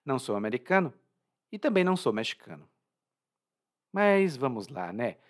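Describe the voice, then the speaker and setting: A man's voice: medium pitch, moderate pace. A Brazilian man with a Brazilian Portuguese accent, teaching Portuguese vocabulary in a chatty, informal way. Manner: lively, informal, chatty, laughs at own jokes. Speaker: language teacher. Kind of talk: explaining vocabulary from a story.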